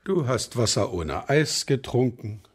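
An actor in a children's radio play playing a grumpy older bachelor, curt, gruff and dryly amused. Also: male voice, medium pitch, sing-song, unhurried. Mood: stern. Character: grumpy older bachelor, set in his ways.

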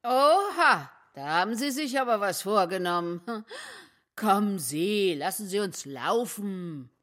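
A woman's voice: medium pitch, lively intonation, measured pace, fairly bright tone. A woman playing a loving, plain-spoken grandmother in a children's radio play, emotional and drily mocking. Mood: cheeky.

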